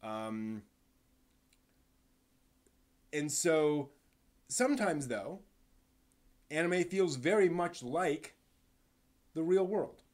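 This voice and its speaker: A man's voice: a little high, lively intonation, slow, long pauses. Man thinking aloud on a live stream. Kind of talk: casual live commentary, answering chat.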